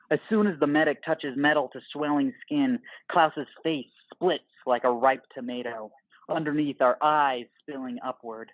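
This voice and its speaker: A man's voice: mid-range voice, no echo, muffled recording. A man narrating a scripted audio drama in the present tense, with terse, clipped phrasing.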